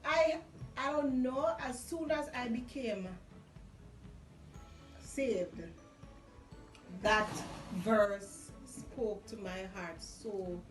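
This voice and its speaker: A middle-aged woman with a Caribbean accent telling a personal story in casual chat, emphatic and repeating phrases for effect. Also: medium pitch. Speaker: vlog guest sharing personal testimony. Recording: roomy, distant mic, music underneath. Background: music.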